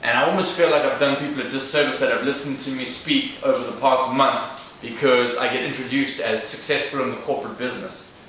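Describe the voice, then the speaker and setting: A man's voice: medium pitch, steady tone, fairly quick, hardly any pauses, a bit bright. A man in his thirties with a South African accent, giving an earnest motivational talk to a live audience. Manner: earnest, direct, addresses the crowd. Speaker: guest speaker. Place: large echoey event room. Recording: echoey room, distant mic, faint noise.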